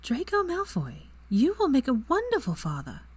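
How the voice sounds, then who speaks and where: A woman voicing a bright, eager young witch in a higher, lively voice that often drops to a hushed whisper. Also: fairly quick, slightly rough. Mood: affectionate.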